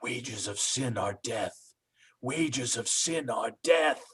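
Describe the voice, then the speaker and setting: An actor playing an ominous, commanding voice inside a killer's head, chanting in old-time radio horror style. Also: male voice, medium pitch, animated, slow, a bit bright, slightly rough, full character voice. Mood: ominous, condemning.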